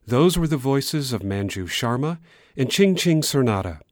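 Guy hosting public radio, reads the intro smooth and steady, dry sound. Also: medium pitch, dry recording. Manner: measured, announcer-like delivery. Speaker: radio executive producer and host.

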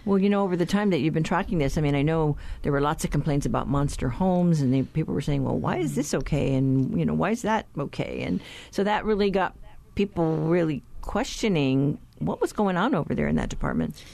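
A woman hosting a radio news interview in a conversational, halting and informal way. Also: deep voice, animated, vocal fry, mellow. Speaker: radio host.

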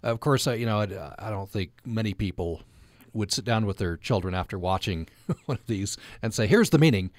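A man with a fairly low voice hosts a conversational radio interview in a dry, close sound. He feels his way through questions, often restarting and repeating words. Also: lively intonation, dry recording. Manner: halting, repeats words while forming questions.

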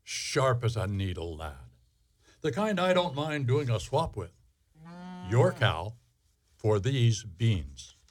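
A man playing a sly, funny little bean seller in a fairy-tale radio play, wheedling and flattering like a salesman. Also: medium pitch, slow, pauses often.

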